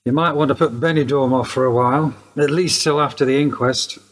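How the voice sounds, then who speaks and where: A man's voice: medium pitch, steady tone, fairly quick, close to an everyday voice. A man playing a shrewd, dry, understated older police sergeant in a radio play. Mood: formal. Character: world-weary, shrewd male detective sergeant near retirement. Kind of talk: naturalistic radio drama acting.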